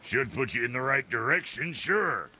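A man voicing a gruff, boastful, foul-mouthed dwarf blacksmith in a video game, gravelly, crude and folksy. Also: mid-range voice, expressive, normal speed, pushed and bright.

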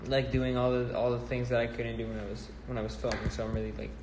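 A man's voice: mid-range voice, fast talker. A young man from the Netherlands, chatting casually and laughing as he answers viewers on a phone livestream in his apartment. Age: young adult. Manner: relaxed, easygoing, laughs often, answers viewer questions. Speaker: livestream host. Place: bright apartment room indoors. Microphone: phone at arm's length. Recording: roomy echo, faint background hiss.

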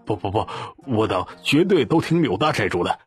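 Audiobook bandit lackey, male narrator: low, very husky, obsequious, eager to obey. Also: sing-song, faint music behind, full character voice. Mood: fawning. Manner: hurried, obsequious. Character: fawning bandit creditor, adult man, eager to obey.

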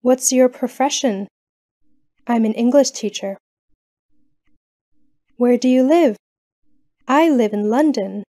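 A woman reading common questions and answers slowly for English learners. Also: a little high, mellow. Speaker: English lesson reader.

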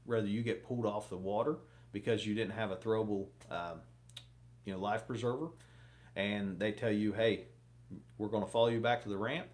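A man's voice: lowish voice, steady tone, a little fast, a little mellow. A man in his thirties talking casually to camera from a small home office, rambling with many fillers while giving fishing advice. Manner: rambling, lots of fillers, gestures a lot. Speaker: fishing vlogger.

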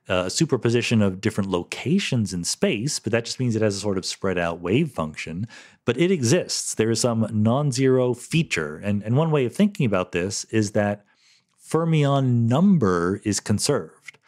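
Middle-aged male podcast host, low voice, animated delivery, casual and self-correcting. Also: normal speed. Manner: thoughtful, self-correcting, lightly laughing. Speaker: podcast host answering listener questions. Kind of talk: casual solo Q&A explanation.

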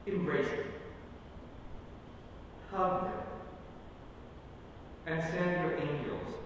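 Male pastor for earnest, solemn prayer in halting phrases; far-off church recording with clear rumbling noise. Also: very echoey, in a large hall. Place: church sanctuary, at the pulpit.

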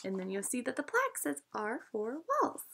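A woman reading a storybook aloud for children in an expressive, instructional teacher's manner. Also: a little high, normal speed, gravelly, slightly rough.

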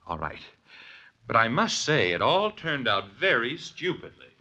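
A man's voice: medium pitch, big pitch swings, a little slow, sharp tone, heavily acted. An actor playing a flustered, sheepish man who stammers and protests, in 1940s radio drama style. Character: flustered, sheepish male society prankster.

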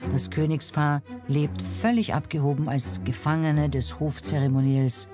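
Documentary narrator, medium-pitched, scripted delivery over a prominent music bed. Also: little pitch movement, moderate pace.

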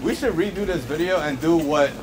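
A man's voice: a little high, a little fast. A man chatting casually while shopping, joking around in loose slang. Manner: jokey, slangy, swears freely. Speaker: shopper in a vlog. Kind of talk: casual chat. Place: inside a large supermarket. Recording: noisy store ambience behind.

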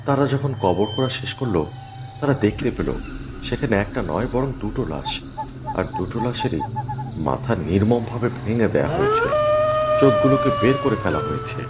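Male storybook narrator, suspenseful, wide pitch swings. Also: wildly swooping pitch, normal speed, very noisy. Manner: dramatic, suspenseful.

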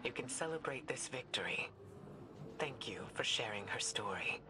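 An actress playing a composed, reassuring mentor and veteran warrior in a video game, speaking in a calm, measured way. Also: female voice, a bass voice, background noise.

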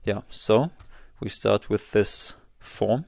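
A man in his twenties giving a casual walkthrough as a software tutorial presenter, close on a desk microphone. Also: fairly low pitch, slow, vocal fry, no room sound. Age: 20s. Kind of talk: casual screen-share walkthrough.

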